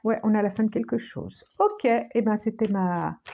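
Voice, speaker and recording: A woman with a cold giving a tarot reading, speaking slowly with many restarts and repetitions, sometimes dropping to a near-whisper. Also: mid-range voice, gravelly, mellow, slight background noise. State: has a cold, coughing. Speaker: tarot card reader.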